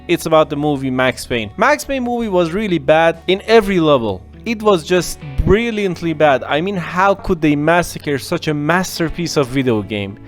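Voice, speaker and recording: A man talking on his own podcast in a casual, opinionated monologue. His voice sits a bit high for a man, and his delivery is animated, with pauses and small restarts. Faint guitar music plays softly underneath. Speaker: podcast host.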